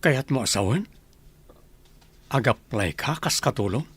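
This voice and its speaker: An actor voicing a blunt rich man who owns a mansion, giving orders in a husky voice. The recording is dry and close, with no room sound. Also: medium pitch, lively intonation, unhurried, no room sound. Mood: stern. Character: wealthy male mansion owner, childless husband. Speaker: mansion owner. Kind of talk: radio drama acting.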